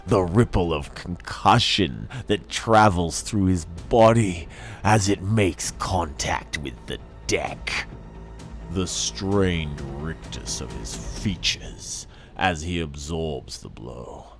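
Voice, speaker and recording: A man reading a dramatic story as scripted narration, with a theatrical, savouring delivery and weighty pauses. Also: deep voice, animated, a bit bright. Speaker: audiobook-style reader. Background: music.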